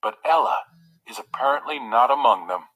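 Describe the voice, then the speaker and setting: A man's voice: mid-range voice, lively intonation, moderate pace, bright, forceful tone, low-quality recording. A man voicing a young pampered prince in a storybook, sorrowful and self-doubting, with a plaintive, sulky delivery. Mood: sorrowful, pleading.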